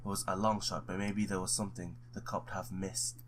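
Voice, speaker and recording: A man's voice: sits low, level tone, brisk, a little hiss or hum, lightly acted. A man reading the part of a wry, hard-boiled ex-cop detective in a noir visual novel. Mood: brooding narration.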